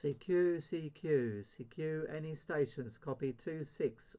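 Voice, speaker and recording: A man's voice: fairly high pitch, takes it slowly, mellow. A man, an amateur CB radio operator, chatting casually as he demonstrates his set and puts out calls. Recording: dull lo-fi audio, clear background noise.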